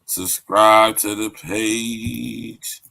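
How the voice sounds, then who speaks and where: Bright low-voiced man reacting in disbelief. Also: fairly even intonation, drawn-out words, creaky. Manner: incredulous, laughing, slangy asides. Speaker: podcast host. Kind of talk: reaction commentary.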